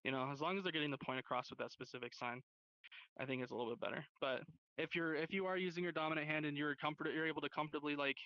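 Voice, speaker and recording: A man's voice: on the high side, racing, dry recording, muffled recording. A man teaching an informal sign language class in a casual, rambling, slangy way over online voice chat. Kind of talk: informal lesson. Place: online virtual class hub.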